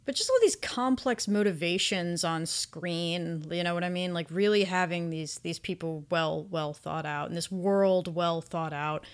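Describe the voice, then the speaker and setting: A woman's voice: lowish voice, unhurried, hardly any pauses, clean tone. A woman co-hosting a casual podcast discussion, effusive and emphatic, repeating words for stress. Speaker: podcast co-host reviewing anime.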